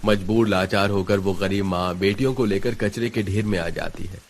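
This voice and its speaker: A man's voice: deep voice, steady tone, moderate pace, slight background noise. A man narrating a scripted story in a dramatic storytelling style.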